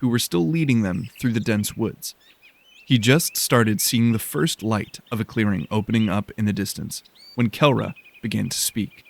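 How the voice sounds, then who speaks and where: A man's voice: lowish voice, moderate pace, vocal fry. A man narrating a scripted science fiction audio drama as its storyteller.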